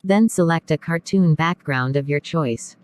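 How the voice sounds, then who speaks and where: A narrator reading a scripted step-by-step software tutorial. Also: medium pitch, measured pace.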